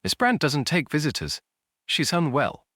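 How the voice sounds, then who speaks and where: A man's voice: a little high, huge pitch swings, moderate pace, pushed and bright, dry recording, studio quality, pitched above the performer's own voice, heavily acted. A man voicing a weathered, suspicious boarding-house woman, curt and guarded, in an audio story.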